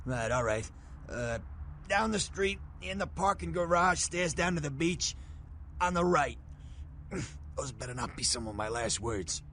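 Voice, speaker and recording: An actor playing a wounded, panicky small-time crook in a role-playing game, halting and slangy, bitter and pleading. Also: male voice, a little high, animated, a bit bright, slight background noise. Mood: bitter, in pain.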